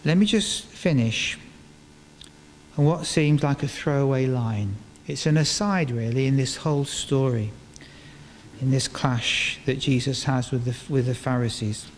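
An older man giving a sermon in a large church, speaking into a stand microphone in measured phrases with deliberate pauses. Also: mid-range voice. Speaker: preacher. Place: large stone church, indoors, before a congregation. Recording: reverberant church hall, clear background noise.

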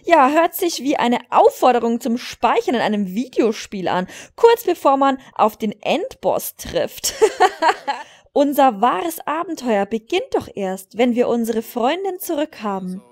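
Young fantasy game heroine, read by a woman: cheeky, playful, higher pitch, very lively. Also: slow, exaggerated voice. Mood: teasing.

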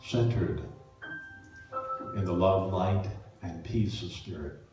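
A man leading a guided meditation as a minister, speaking soothingly in measured phrases with pauses. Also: low-pitched, mellow. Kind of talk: guided meditation, spiritual talk. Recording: echoey room, distant mic, faint music.

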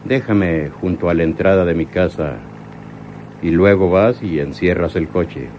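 An actor playing a bitter, menacing crime boss in a Mexican radio drama, with a Mexican accent. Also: male voice, low-pitched, measured pace, lo-fi sound, a little hiss or hum. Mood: gloomy.

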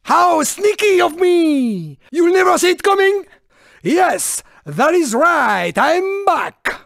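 A sneaky, irritable little French car from a video game. The voice is high and gravelly, with a French accent and unhurried pacing. Delivery is haughty, exclamatory and theatrical. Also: male voice, very animated, fairly bright tone, no echo, clean studio sound, exaggerated voice. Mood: smug, boastful. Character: small, irritable, boastful, sneaky French male cartoon car.

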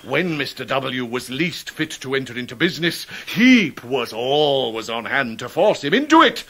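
An actor playing a pompous, indignant, debt-ridden clerk in a radio drama, with grandiloquent, theatrical oratory. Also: male voice, a little high, sharp tone, full character voice. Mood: accusing. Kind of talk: 1990s radio drama acting.

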